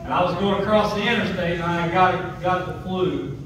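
Older man, church testimony, higher voice, plain telling turning fervent, distant, echoey. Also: normal speed, a bit bright. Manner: plain storytelling that swells into fervent proclamation. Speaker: truck driver giving testimony. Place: small wood-paneled church, seated in a pew. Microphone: far phone camera. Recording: echoey room from far off, noisy.

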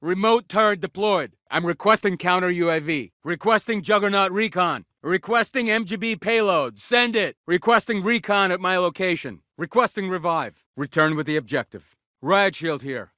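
An actor playing a tough, battle-ready special forces soldier in a military shooter game, giving terse, commanding callouts. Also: male voice, sits high, moderate pace, hardly any pauses, sharp tone, no echo, studio quality. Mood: brisk, alert.

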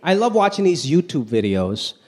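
High, lively-voiced man doing stand-up. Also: moderate pace. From Hong Kong. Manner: animated, pitch swinging high and low for punchlines. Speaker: stand-up comedian. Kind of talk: stand-up comedy set. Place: large theater stage with live audience. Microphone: handheld stage mic. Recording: live show with faint crowd and room noise.

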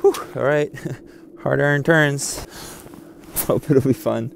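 A young man on a snowy mountain ski tour, chatting casually and excitably into a body-worn action camera, swearing and laughing often. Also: medium pitch, takes it slowly, creaky, a little hiss or hum. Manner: excitable, swears, laughs a lot, says "dude". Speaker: skier and vlogger. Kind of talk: casual outdoor chat while ski touring. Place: outdoors on a snowy mountain couloir.

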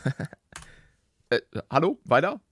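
A young man giving excitable, slangy casual commentary as he reacts to gaming videos. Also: a little high, unhurried, no echo. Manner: animated, slangy, youthful. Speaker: gaming YouTuber. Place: small indoor gaming room. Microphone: close desk microphone.

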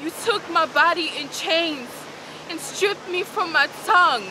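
Young woman poet, high voice, rhythmic emphatic spoken word, recorded outdoors with loud crashing surf behind. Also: unhurried, background noise. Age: young adult. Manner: rhythmic, emphatic performance delivery. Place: rocky beach outdoors. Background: crashing ocean waves.

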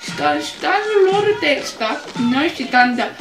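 A woman acting a proud, absent-minded elderly New Zealand grandparent in a comedy sketch, halting and repeating words, in an echoey room. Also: sits high, lively intonation, creaky, music in the background, pitched above the performer's own voice. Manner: slow, halting, repetitive.

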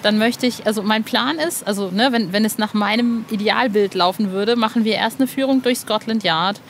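A woman's voice: mid-range voice, normal speed. A woman hosting a podcast interview in an informal, colloquial, eager way. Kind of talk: casual interview chat. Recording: faint background noise.

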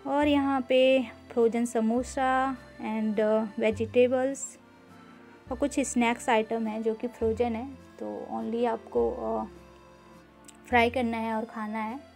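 Mellow-voiced woman touring a grocery store. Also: on the high side, level tone, creaky, faint music behind. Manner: unhurried, mixes English words into Hindi, frequent "uh" fillers. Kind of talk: casual walkthrough narration.

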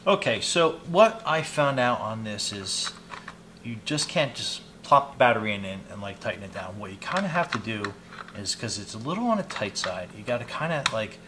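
Low-voiced man doing an unboxing demo. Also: very animated, bright, forceful tone. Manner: informal, unhurried, explains step by step while handling items. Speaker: product reviewer demonstrating gadgets on his channel. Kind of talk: casual unboxing demonstration. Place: small indoor hobby room at a desk. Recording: clear background noise, clicks of handled items.

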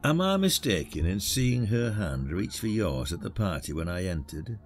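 Casting: male actor as retired British village sleuth, gruff and shrewd, low animated voice, podcast drama. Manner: probing, colloquial, accusatory. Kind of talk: audio drama acting. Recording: music behind the voice.

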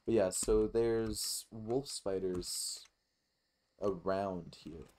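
Deep voice dude doing a chill let's play, talks slow, rambles and swears a bit. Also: male voice, darkish tone, slight background noise. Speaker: gaming YouTuber. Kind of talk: casual let's play commentary.

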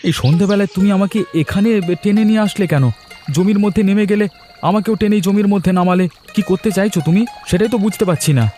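A man's voice: high voice, fairly quick, warm tone, natural voice. A man voicing a doubtful, complaining young village husband in a cartoon drama, with music behind him. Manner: protesting, doubtful.

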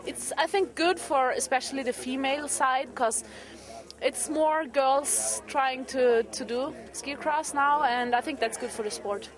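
Woman athlete, high voice, brief answers, crowd noise. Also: a little slow. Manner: short, simple answers. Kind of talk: casual interview answers.

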